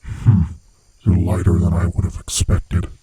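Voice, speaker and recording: A man voicing a sinister, gloating monster who hunts human prey, with a husky, rasping voice and a slow, taunting manner, in a horror ASMR roleplay. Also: monotone, mellow, no echo, full character voice. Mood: smug, possessive. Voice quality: husky, breathy, rasping low voice. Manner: slow, menacing, theatrically taunting.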